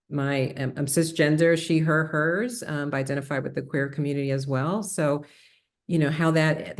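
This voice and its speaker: A woman's voice: rumbling low, creaky. A middle-aged woman with a slight New York-area accent, speaking thoughtfully in a conversational interview as a psychiatrist guest, with frequent fillers and restarts. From Puerto Rico, raised New Jersey and New York.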